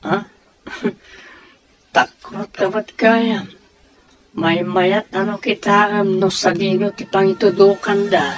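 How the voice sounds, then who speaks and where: Radio drama character, Ilocano Filipino accent, high male voice, unhurried delivery, music underneath. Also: fairly even intonation. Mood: teasing. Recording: music bed behind the voices.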